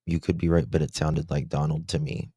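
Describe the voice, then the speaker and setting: A man hosting a true-crime livestream in casual chat, with a deep voice and a slow, halting way of talking full of fillers. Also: little pitch movement, mellow, husky, dry recording, clean studio sound. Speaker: livestream host.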